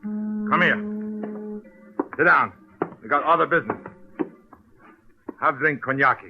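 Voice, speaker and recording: An actor playing a heavyset, hot-tempered dockside boss in a vintage radio crime drama, with blunt, clipped, broken-English phrasing. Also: male voice, on the high side, lively intonation, slow pace, music in the background. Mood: gruff, suspicious. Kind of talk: 1940s-50s radio crime drama acting. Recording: dull vintage radio recording.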